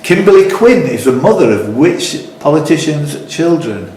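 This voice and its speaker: A man's voice: on the high side, very animated, moderate pace, darkish tone. A man hosting a live quiz, reading out questions and rambling into teasing, jokey asides. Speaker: quiz host. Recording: echoey room, slight background noise.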